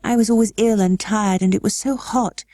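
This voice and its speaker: Woman voicing a timid little girl, high and tremulous. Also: steady tone, normal speed, warm tone, no room sound, studio quality, lightly acted. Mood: shy, earnest. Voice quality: tremulous, breathy when nervous. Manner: hesitant, pleading. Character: timid, earnest little girl, eager and anxious.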